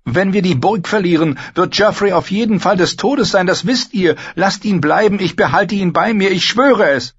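A man voicing a desperate, hot-headed young knight in an audiobook, loud and urgent, in a dull recording. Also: sits high, moderate pace, few pauses, slightly rough, pitched above the performer's own voice. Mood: desperate pleading.

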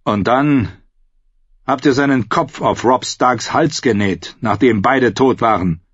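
A man's voice: medium pitch, takes it slowly, husky, no room sound, lo-fi sound, voice pushed up. A man voicing a big, coarse outlaw in an audiobook, brusque and contemptuous. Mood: scornful. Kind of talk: audiobook character voice.

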